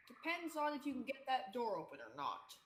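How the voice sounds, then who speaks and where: A woman's voice: a little high, wildly swooping pitch, moderate pace, a little hiss or hum. A teenage woman acting a practical, bossy young woman survivor in a radio theatre play. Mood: exasperated. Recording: echoey room.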